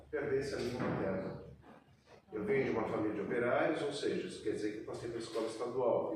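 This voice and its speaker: A man from Brazil, a poet on a literary panel, telling a story in a measured, narrative way, recorded from a distance in an echoey room. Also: mid-range voice, noisy background.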